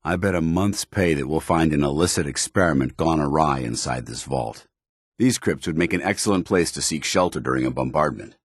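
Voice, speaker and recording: An actor playing a stern, principled, by-the-book soldier in a video game, with formal, clipped, commanding delivery. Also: male voice, very deep voice, fairly quick, breathy edge, dry recording, studio quality. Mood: grave, observant. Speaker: video game companion character.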